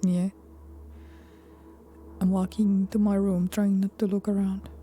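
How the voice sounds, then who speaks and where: A woman doing let's play commentary, reading game text aloud in a soft-spoken voice that often turns whispery. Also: medium pitch, level tone, measured pace. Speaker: video game streamer. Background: game music.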